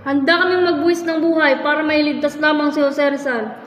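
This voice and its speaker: A woman's voice: high voice, steady tone, a little fast, close to an everyday voice. A woman playing a fervent, distraught young patriot in an amateur radio drama. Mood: fervent, defiant. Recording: echoey hall, clear background noise.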